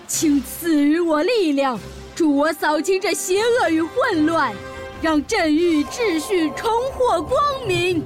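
A high-pitched, fiery orc mother acted by a woman, proud and imperious. She declaims theatrically in a fantasy audio drama, with music clearly behind her. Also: lively intonation, slow, heavily acted. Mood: grandly incanting.

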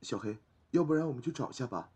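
A man's voice: mid-range voice, animated, fast, natural voice. A man playing a polite, easily worried young helper in a fantasy audio drama, earnest and questioning. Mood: puzzled. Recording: noisy background with clicks.

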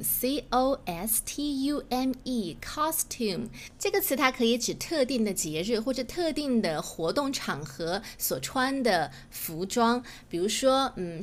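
Woman, native Chinese speaker, high voice, English lesson host, chatty, explanatory. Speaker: English teacher and podcast host. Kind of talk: explanatory language lesson, reading lines aloud and glossing them.

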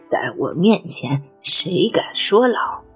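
A woman plays an aged, haunted grandmother in an audio drama. The voice is slow and very breathy, with an imperious, agitated edge and music behind it. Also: fairly low pitch, big pitch swings, bright, forceful tone, exaggerated voice. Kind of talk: Chinese audio drama acting.

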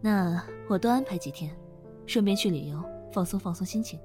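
Female boss for office audio drama, played by a woman: assured and caring, medium pitch, lightly teasing. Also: expressive, fairly quick, over music, close to an everyday voice. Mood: calm, caring. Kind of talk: Chinese audio drama acting.

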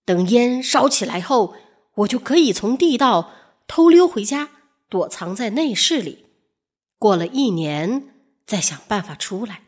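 A woman voicing a clever, anxious male carpenter in a storybook, speaking in a hushed, earnest manner. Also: medium pitch, very animated, a little slow, breathy edge. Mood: plotting. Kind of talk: storybook character voice.

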